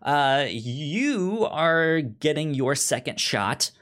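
A man's voice: fairly high pitch, very animated, very slow, a bit bright. A man hosting a podcast in casual chat, stuttering as he repeats sounds and syllables. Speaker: podcast host.

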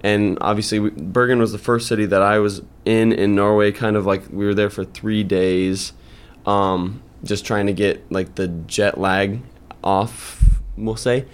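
A young man co-hosting a podcast, telling long stories that wander. His voice sits fairly low, and he leans on "like" and "um" as he goes. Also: fairly even intonation, measured pace, smooth. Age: young adult. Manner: rambling storyteller, filler-heavy. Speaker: podcast co-host. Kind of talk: casual chat.

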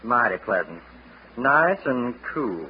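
An actor in a 1940s radio drama playing a drawling Southern gentleman who backs down uneasily. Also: mid-range voice, big pitch swings, takes it slowly. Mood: uneasy. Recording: muffled, noisy vintage radio broadcast.

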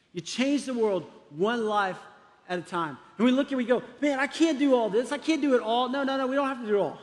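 High-voiced male pastor preaching in echoing hall. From Texas, United States. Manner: emphatic, exhortative, repeats phrases. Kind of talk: sermon to a congregation. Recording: long echoing hall reverb.

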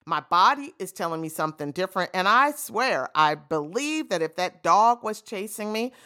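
A woman's voice: mid-range voice, sing-song, normal speed. A woman in her fifties hosting a podcast, talking reflectively and telling stories with easy asides. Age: 50s. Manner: warm, reflective storytelling with frequent "you know" asides. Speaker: podcast host. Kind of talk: reflective solo monologue.

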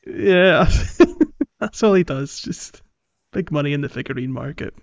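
A man's voice: fairly high pitch, animated, unhurried, gravelly, no room sound. A man co-hosting a gaming podcast, chatting casually in a jokey, bantering way on a slightly lo-fi mic. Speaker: podcast co-host.

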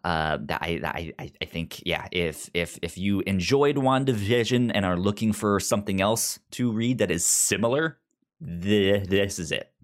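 Male host, deep pitch, casual book chat, halting delivery with repeated words. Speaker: podcast host.

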